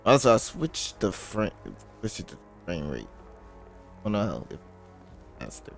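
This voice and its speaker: Hoarse young male game streamer, low-ish, rambling and laid-back, soft music under. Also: big pitch swings, takes it slowly. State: hoarse, voice nearly gone. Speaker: gaming channel host. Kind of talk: casual gameplay commentary.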